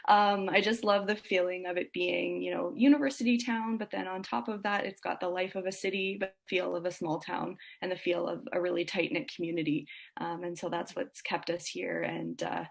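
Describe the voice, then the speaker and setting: A woman's voice: lowish voice, fairly even intonation, moderate pace, gravelly, hardly any pauses. A woman speaking as an interview guest, explaining things in an earnest, conversational way. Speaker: sleep consultant, podcast guest.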